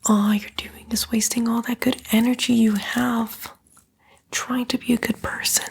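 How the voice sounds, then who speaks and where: A woman voicing a smug, seductive female demon, a fallen angel, in a breathy, coaxing ASMR roleplay whisper. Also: fairly high pitch, level tone, heavily acted. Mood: sly, tempting. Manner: slow, coaxing, taunting, drawn-out whisper.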